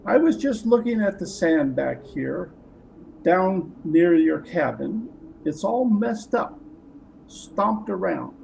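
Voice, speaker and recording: A man's voice: high voice, animated, slow pace, gravelly, darkish tone, heavily acted. A man playing a polite police detective who questions with hesitant, feigned casualness in an old-time radio mystery. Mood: probing, suspicious. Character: polite but probing male police detective, casually suspicious. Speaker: actor in an online radio play performance. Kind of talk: 1940s radio mystery acting. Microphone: video call. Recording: noisy home video-call recording.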